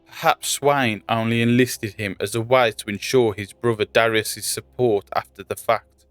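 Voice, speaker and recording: Guy narrating fantasy lore, smooth clean voice, faint music behind him. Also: medium pitch, fairly even intonation, normal speed, a bit bright, no echo.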